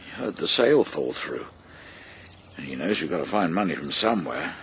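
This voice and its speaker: A man playing a stubborn, proud older husband with an English accent, defensive and halting in a naturalistic radio drama. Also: a bass voice, very animated, a bit bright, slight background noise, heavily acted. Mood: worried.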